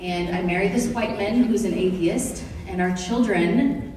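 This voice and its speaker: Woman from Kenya, middle-aged, presents to students with lively, joking audience questions; heard from a distance in a long-reverb hall. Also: medium pitch, a little slow. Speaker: presenter to high school students. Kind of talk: classroom presentation. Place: large room or hall. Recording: echoey hall, voice from far off.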